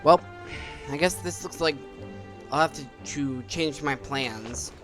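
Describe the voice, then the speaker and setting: A man giving casual commentary while he plays a video game, halting and repeating his words. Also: a little high. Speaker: gamer playing through a story quest. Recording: game music behind the voice.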